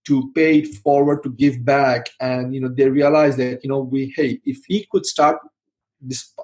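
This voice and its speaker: A man's voice: medium pitch, flat delivery, normal speed, a little mellow, muffled recording. A middle-aged man from Bangladesh answering interview questions in a loose, rambling way, full of "you know" and restarts. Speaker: podcast guest, IT manager and founder.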